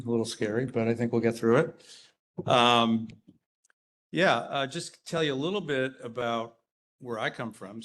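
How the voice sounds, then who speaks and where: A man from Rhode Island giving a conference talk as an engineer. He is chatty and self-deprecating, quizzes the audience, and uses frequent fillers. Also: medium pitch. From Rhode Island, USA. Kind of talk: conference presentation with audience banter. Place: conference hall.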